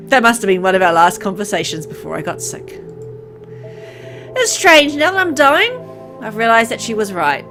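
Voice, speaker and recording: A bitter young man in his twenties narrates his own dying in a solemn, inward-looking way , read by a woman. He is resigned and brooding. Music plays clearly behind this visual novel monologue. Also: on the high side, very animated, moderate pace, a bit bright. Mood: regretful. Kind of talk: dramatic first-person visual novel narration.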